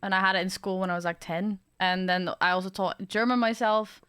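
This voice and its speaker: A woman's voice: medium pitch, steady tone, fairly quick, fairly bright tone, clear, resonant tone, no room sound, clean studio sound. A woman from the Netherlands with a Dutch-influenced English accent, chatting as a podcast guest with many fillers and easy laughter. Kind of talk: casual chat.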